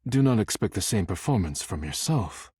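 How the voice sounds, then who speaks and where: A proud, earnest artisan from a video game. His voice is lowish and strongly husky, with formal, carefully precise phrasing and a touch of haughtiness. The sound is dry, with no room around it. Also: lively intonation, normal speed, darkish tone, no echo. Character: proud, formal, devoted male potter, an animate dishware character. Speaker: voice actor. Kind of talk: video game character dialogue.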